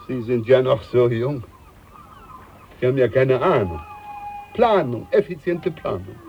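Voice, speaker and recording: A pompous old ship's doctor. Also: male voice, medium pitch, expressive, slow, pauses often, lo-fi sound, slight background noise. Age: older. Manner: boastful, condescending, chuckling. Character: pompous older ship's doctor, self-important. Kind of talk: radio play acting.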